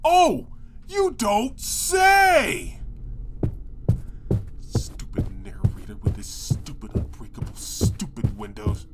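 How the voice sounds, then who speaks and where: A man's voice: sits high, huge pitch swings, takes it slowly, over loud music, full character voice. A man voicing a cocky, easily panicked pegasus pony in a comedic audio drama, sarcastic and exasperated, muttering and yelping theatrically.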